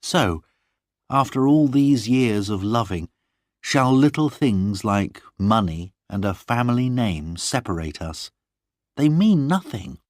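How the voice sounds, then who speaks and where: Male reader voicing a lovestruck young noblewoman, high and heartfelt, dry close sound. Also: lively intonation, slow pace, no echo. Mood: tender. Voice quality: raised, emotional delivery. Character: young noblewoman, tender, passionate, pleading.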